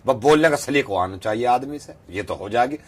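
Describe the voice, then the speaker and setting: A man's voice: medium pitch, animated, brisk, close to an everyday voice. A man putting on a brash, rambling rustic professor. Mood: deadpan, self-assured. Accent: rural western Uttar Pradesh. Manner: rambling, repetitive, bluntly folksy. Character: male fake foreign-trained professor, rustic, brash, self-assured. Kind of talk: deadpan prank-call character.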